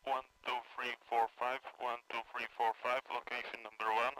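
A man making repetitive radio test calls in deliberate, clipped phrases. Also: mid-range voice, little pitch movement, bright, forceful tone, no room sound, rough recording. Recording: faint noise, clicks, radio test audio.

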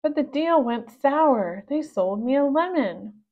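A woman's voice: high voice, expressive, slow, phone-quality sound, voice pushed up. Woman as a timid, plaintive storybook wolf. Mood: worried. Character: timid, troubled wolf baker, easily scared.

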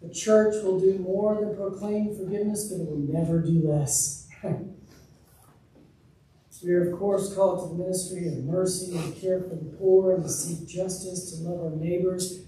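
Pastor, man in his thirties, earnest pulpit sermon, dark mellow tone, distant mic in a reverberant sanctuary with faint ambience. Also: sits high, fairly even intonation, moderate pace, a little hiss or hum. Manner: earnest, emphatic preaching with open gestures. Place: church sanctuary, speaking from a pulpit. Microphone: room mic, far from speaker. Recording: echoey distant church recording.

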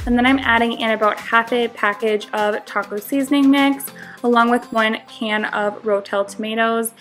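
A woman's voice: fairly high pitch, fairly even intonation, normal speed, a bit bright, soft background music. A young woman giving a friendly, instructive voice-over for a home cooking tutorial. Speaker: cooking vlogger.

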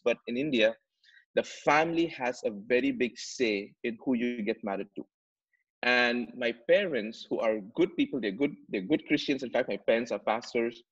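A man's voice: mid-range voice, husky. Earnest man on a muffled video call. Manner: earnest, repeats words while searching for phrasing. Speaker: podcast guest. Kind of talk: conversational storytelling. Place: home room with plants and shelves.

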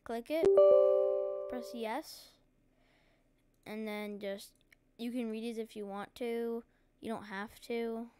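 A woman presenting a casual software tutorial, giving informal, halting step-by-step instructions. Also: fairly high pitch, fairly even intonation, music in the background.

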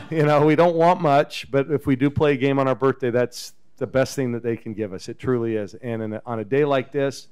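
A man in his fifties, a head coach, talking casually at a post-game press conference into a table microphone, relaxed and chatty. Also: medium pitch, clear, resonant tone. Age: 50s. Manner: relaxed, chatty, chuckles often. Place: press conference room with backdrop. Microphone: table microphone on a stand.

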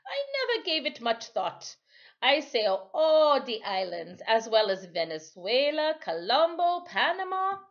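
A woman voicing an easygoing West Indian sailor man in his sixties in a storybook, with folksy, unhurried dialect. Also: very high voice, huge pitch swings, a bit bright, lo-fi sound, voice pushed up, heavily acted. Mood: amused, easygoing. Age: 60s. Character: old West Indian sailor, over sixty, easygoing, chuckling. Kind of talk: storybook character voice.

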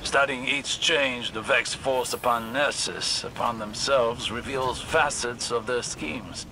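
An actor voicing a calm, authoritative male mission narrator in a video game. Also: mid-range voice, low-quality recording. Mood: commanding. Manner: measured, authoritative. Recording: loud gunfire and game noise.

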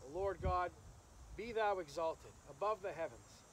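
A male minister with a notably high voice leads a graveside service in the open air. His delivery is solemn and measured, with pauses between phrases. The camera stands some metres off, and faint insect and nature noise runs behind him. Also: lively intonation, rough recording. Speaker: minister officiating a funeral. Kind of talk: graveside sermon with scripture reading and prayer. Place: outdoors in an open rural cemetery. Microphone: camera several metres away.

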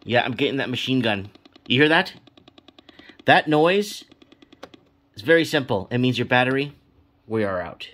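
A man's voice: medium pitch, expressive, moderate pace, pauses often, fairly bright tone. A man giving a casual, unscripted product demo, rambling informally and thinking aloud as he goes. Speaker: product reviewer. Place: small room at home, indoors. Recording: clearly noisy home video with clicks.

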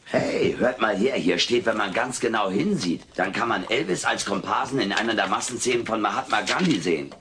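An actor playing a wisecracking, gullible furry alien in a sitcom audio play, cheeky and insistent. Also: male voice, lowish voice, a little fast, few pauses, a bit bright, slight background noise, lightly acted. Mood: excited, insistent.

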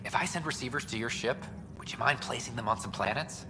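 A man's voice: medium pitch, background noise, lightly acted. A man voicing a tired, eager news reporter short on sleep in a sci-fi game. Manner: eager, fast-talking, a little weary. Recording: long reverb, game ambience behind.

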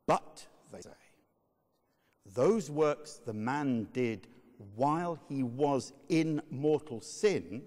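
Male sermon reader, slightly high voice, measured dramatic delivery, long hall reverb. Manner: measured, emphatic, oratorical. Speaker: narrator, reader. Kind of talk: dramatic reading of a medieval sermon.

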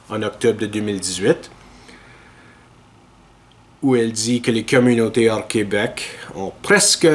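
A man, hosting a podcast and giving measured, opinionated commentary to a webcam in a small room. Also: mid-range voice. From Ontario, Canada. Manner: measured, earnest and opinionated, talks straight to camera. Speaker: podcast host. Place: small indoor room with dark curtains.

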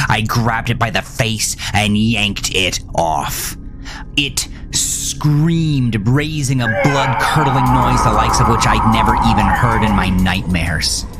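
Slow, intense male horror narrator. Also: lowish voice. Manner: intense, dramatic, deliberate. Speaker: storyteller, podcast narrator. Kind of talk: scripted first-person horror narration.

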